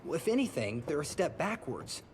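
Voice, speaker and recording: A man's voice: on the high side, sing-song, fairly quick, background noise. A man voicing a pompous, eager monster researcher in a video game, fussy and precise with florid turns of speech.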